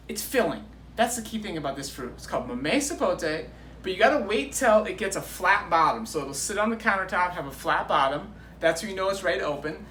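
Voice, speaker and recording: A man's voice: sits high, pushed and bright. Man explaining casually in a roomy echo. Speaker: guest presenting tropical fruits. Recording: roomy echo, clear background noise.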